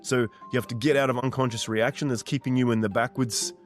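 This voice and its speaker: Man in his forties, earnest, direct, repeats for emphasis, soft music behind. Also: mid-range voice, fairly even intonation, quick pace. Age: 40s. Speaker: mindset coach. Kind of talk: motivational monologue.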